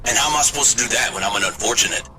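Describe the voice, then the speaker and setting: A man's voice: medium pitch, racing, over music, close to an everyday voice, rough recording. A man playing a dry, reluctant young vigilante who dislikes socializing, in casual video game dialogue.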